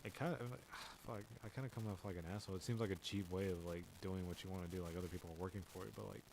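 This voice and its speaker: A man's voice: sits low, fairly even intonation, fast talker, creaky, noisy background. A young man hosting his own podcast, rambling casually with lots of fillers, restarts and swearing. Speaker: podcast host. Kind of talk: casual solo talk.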